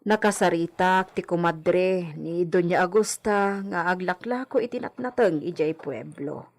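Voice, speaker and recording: A woman playing a meek, cautious housemaid with a husky voice, deferential and hesitant, in an audio drama. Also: on the low side, a little slow. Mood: meek, uneasy. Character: meek, cautious housemaid reporting gossip to master. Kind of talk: Filipino audio drama acting.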